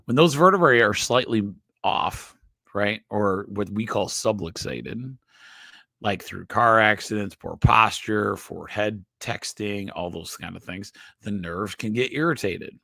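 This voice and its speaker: A nasal, somewhat low-pitched man explaining health topics to a live online audience. He speaks haltingly, restarting his phrases and tagging lines with quick check-ins. The sound is close and dry, with no room echo. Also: creaky, pushed and bright. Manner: halting, frequent restarts, checks in with "okay?" and "right?". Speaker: chiropractor host.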